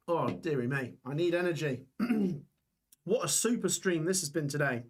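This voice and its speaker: A young man hosting a live football watch-along stream, reading out scores and chatting with viewers while his voice gets hoarse. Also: fairly high pitch, animated, moderate pace. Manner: chatty, reads scores aloud, reacts to chat. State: voice going hoarse.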